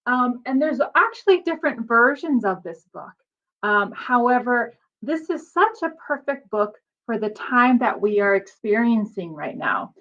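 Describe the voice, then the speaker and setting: A woman's voice: fairly high pitch, muffled recording. A woman reading a picture book aloud like a teacher, expressively and with simple explanatory asides for young children.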